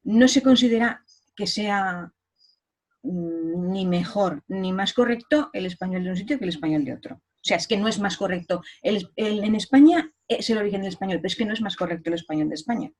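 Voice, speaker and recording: A woman hosts a conversational interview. Her voice sits at a middling pitch, and she muses out loud, softening each point with hedges. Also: brisk. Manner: reflective, hedging with "yo creo". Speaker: interview host.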